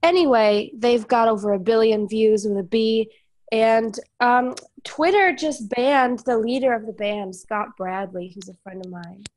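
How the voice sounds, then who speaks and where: A woman's voice: a little high, a little slow, darkish tone. A woman musician with a cold, sounding congested, chatting casually on an online call with many fillers. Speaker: musician guest. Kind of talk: casual discussion.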